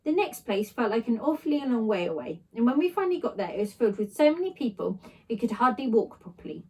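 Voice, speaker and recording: A woman's voice: a little high, sing-song, fast, warm tone, husky, rough recording. A woman reading a children's story aloud expressively, narrating in a child's first person. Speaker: story reader, teacher.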